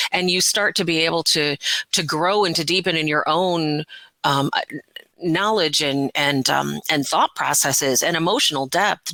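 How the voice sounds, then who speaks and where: A middle-aged woman talking as an interview guest, conversational, passionate, earnest and expressive. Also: deep voice, no room sound, poor audio. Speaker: interview guest, voiceover artist and audiobook narrator.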